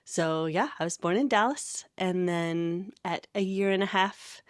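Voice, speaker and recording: American woman, thirties, lower voice, dry close recording, casual storytelling, podcast guest. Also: unhurried, no room sound. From Connecticut, USA. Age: 30s. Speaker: podcast guest, campus ministry worker.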